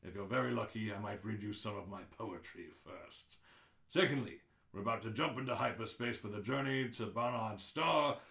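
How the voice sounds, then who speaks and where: Man voicing alien starship captain, low pitch, officious, spiteful, curt announcement, radio play, echoey room. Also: moderate pace, gravelly, a bit bright. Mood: menacing, officious. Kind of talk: amateur radio play reading. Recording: roomy, echoing live-stream audio.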